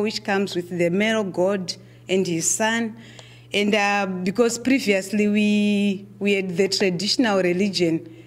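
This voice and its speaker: A middle-aged woman with a Zimbabwean accent gives a keynote address at a conference. She talks in a measured, deliberate way, often pausing on "uh" and repeating words as she restarts. Her voice sits at an ordinary pitch for a woman. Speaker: keynote speaker.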